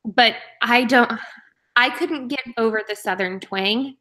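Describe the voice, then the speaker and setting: A woman's voice: fairly high pitch, a little slow, bright, forceful tone. A woman from Oklahoma giving frank, matter-of-fact opinions in a casual book club chat.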